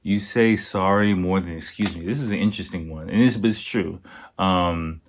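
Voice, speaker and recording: A slightly hoarse man hosting a casual solo podcast, talking in a laid-back, rambling way with plenty of swearing. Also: deep voice, moderate pace, a little hiss or hum. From United States. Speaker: podcast host. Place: Japan.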